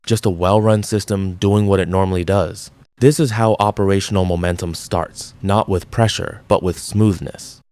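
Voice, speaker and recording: A man giving a measured, deliberate scripted narration as the host of an analysis channel. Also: low voice, fairly even intonation, a little mellow. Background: background music.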